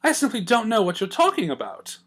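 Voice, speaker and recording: Guy doing a posh dramatic lady unicorn for a pony story, gushy, haughty, high and swoopy. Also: wildly swooping pitch, a little fast, a bit bright, exaggerated voice. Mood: feigned innocence. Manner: theatrical, haughty, effusive, says "darling". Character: grand, dramatic, gushing romantic female unicorn.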